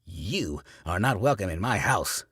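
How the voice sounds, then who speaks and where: A screeching, wild-eyed old preacher, put on by a man reading a story aloud. His low voice swings up and down as he thunders accusations, raspy-edged and close to the mic. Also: very animated, normal speed, no echo, exaggerated voice. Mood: furious. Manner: shouting, fire-and-brimstone. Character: ranting old male backwoods preacher.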